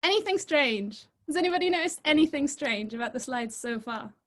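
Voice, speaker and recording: Young woman, from Egypt, high voice, lively chatty presenter, video call. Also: slight background noise. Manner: lively, chatty and informal, quick to laugh. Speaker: guest speaker.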